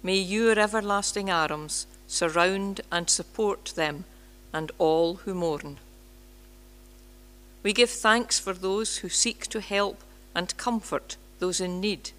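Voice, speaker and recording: A woman in her sixties reading a prayer in a measured, solemn way at a church lectern microphone. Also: mid-range voice, fairly bright tone. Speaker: prayer leader.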